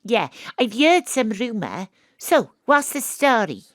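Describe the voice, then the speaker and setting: An actress playing a wry, world-weary private detective, narrating and talking in an audio drama. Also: female voice, a little high, big pitch swings, takes it slowly, a bit bright, dry recording, clean studio sound, heavily acted. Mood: inquisitive. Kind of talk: audio drama dialogue and noir-style narration.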